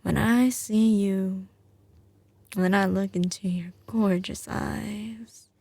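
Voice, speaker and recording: Slow-drawling Southern mafia girlfriend, played by a woman. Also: medium pitch, creaky, mellow, no echo, heavily acted. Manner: slow, drawling, teasing endearments. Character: doting, tough mafia heiress girlfriend, young woman. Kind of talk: ASMR roleplay, intimate scripted monologue to the listener.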